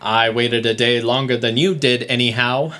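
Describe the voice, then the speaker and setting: A man's voice: mid-range voice, steady tone, normal speed, sharp tone, natural voice. Man playing a smug, needling ex-husband. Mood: smug, taunting. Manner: taunting, mock-polite. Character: smug, needling ex-husband in 1916 New York. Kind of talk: theatrical comedy acting, audio play.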